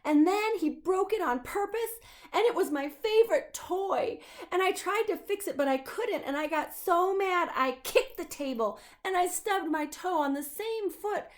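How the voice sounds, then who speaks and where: A woman's voice: very high-pitched, lively intonation, a little slow, pitched above the performer's own voice. A woman voicing an upset little storybook boy, complaining in a whiny, run-on way. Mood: tearful, aggrieved. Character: upset, put-upon little boy, easily scared.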